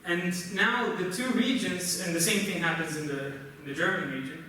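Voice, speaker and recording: A man with a Brazilian accent, a native Portuguese speaker, gives a technical conference lecture in a halting, explanatory way. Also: high-pitched, bright, forceful tone. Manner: halting, explanatory, often checks with "right?". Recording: roomy, noisy conference hall, distant mic.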